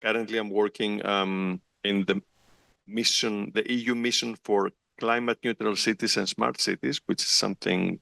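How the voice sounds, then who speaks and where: Deep gravelly man, dry sound. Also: fairly even intonation, pushed and bright, no echo. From Greece. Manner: halting, repeats words. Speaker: panel speaker. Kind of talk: self-introduction on a panel.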